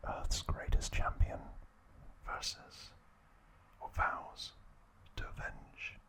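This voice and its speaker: A man speaking in a breathy, hushed ASMR whisper, in short halting phrases as he hosts a relaxed video.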